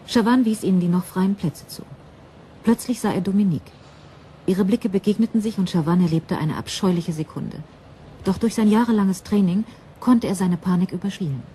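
A woman's voice: fairly low pitch, warm tone, a little hiss or hum. A woman narrating a scripted crime story in a composed storytelling manner.

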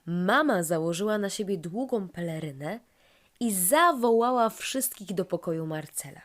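A woman storyteller narrating a scripted tale for children in an expressive, deliberate manner. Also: mid-range voice.

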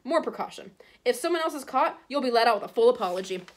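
A woman's voice: a little high, expressive, fast, voice pushed up. Lady reading as nervy government man, clipped short bits, flustered, storybook voice. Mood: pressured, defensive. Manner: clipped, fragmentary phrases, nervous. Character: flustered, clipped, anxious male government minister.

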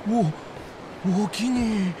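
ゲームのカットシーンで、関西弁の素朴で少し気弱な年配のホームレスを演じる男性俳優。. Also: male voice, high voice, fairly even intonation, slow. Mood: grateful, unsure. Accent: Kansai. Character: humble, grateful older homeless man, slightly timid. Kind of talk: video game cutscene acting. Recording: loud background ambience noise.

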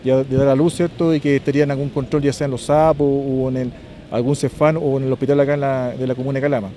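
A middle-aged man with a Chilean accent, a police officer giving a halting press statement on a street, repeating words as he explains. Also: mid-range voice, level tone, mellow, slight background noise. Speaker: police lieutenant colonel. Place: outdoors on a street.

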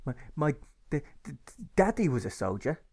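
A man's voice: fairly high pitch, sing-song, moderate pace, a little mellow, slightly rough, pitched above the performer's own voice, full character voice. A man voicing a timid young boy who stutters and begs to hear about his father. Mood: pleading, eager.

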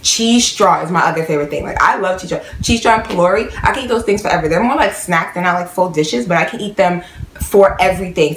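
A woman's voice: fairly low pitch, echoey room, a little hiss or hum, rough recording. A young woman from New York with a slight Guyanese tinge in her accent, chatting casually to camera in a rambling way. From New York, of Guyanese family. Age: young adult. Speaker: vlogger. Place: small bedroom at home.

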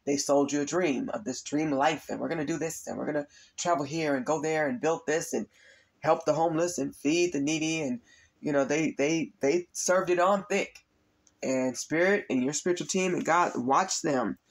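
A man with a raspy voice from allergies and overuse, earnestly giving a spiritual tarot reading to his viewers. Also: a little high, normal speed. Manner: earnest, repetitive, addresses viewers as you guys. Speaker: tarot reader and spiritual coach. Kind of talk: spiritual reading monologue to camera.

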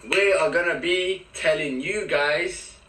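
A man's voice: a little high, slow, sharp tone, far from the mic, low-quality recording. An eighteen-year-old man doing a clumsy, jokey vlog intro, recorded across a room. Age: young adult. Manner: awkward, jokey. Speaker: vlogger in an old clip.

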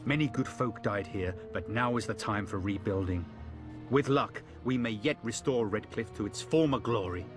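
An actor playing an earnest, hopeful village defender who speaks formally. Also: male voice, lowish voice, moderate pace, over music, close to an everyday voice. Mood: hopeful, sober.

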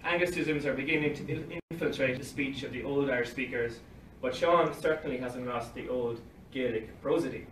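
A man's voice: mid-range voice, normal speed, hardly any pauses, fairly bright tone. A young man giving a conference talk at a lectern, reading from notes with dry asides, recorded from across a library meeting room. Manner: reads from paper at a lectern, dry asides with short laughs. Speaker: conference speaker. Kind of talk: academic talk read from notes. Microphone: far phone camera across the room. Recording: roomy, distant, faint hiss, livestream quality.

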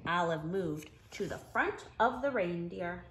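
A middle-aged woman reading a children's story aloud in an expressive, emphatic storytelling manner. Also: lowish voice, measured pace, a little hiss or hum. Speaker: storybook reader. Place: living room, indoors.

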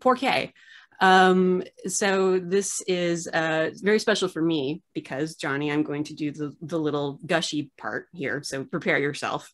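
Lady host on a video call, low voice, lots of uhs, joking about herself. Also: a little slow. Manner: chatty, many filler uhs, self-deprecating jokes. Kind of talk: casual video-call interview. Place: home room with framed art on the wall.

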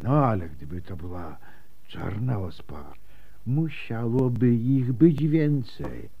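An actor playing a kindly, deferential milk-seller in a radio drama, with a hesitant manner. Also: male voice, medium pitch, big pitch swings, takes it slowly, creaky, breathy edge, heavily acted. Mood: concerned, deferential. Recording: slightly muffled, faintly noisy radio recording.

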